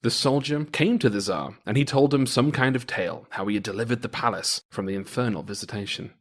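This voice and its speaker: A man narrating a folktale as storyteller in a dramatic, theatrical and expressive manner. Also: mid-range voice, a little fast. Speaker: storyteller, podcast host.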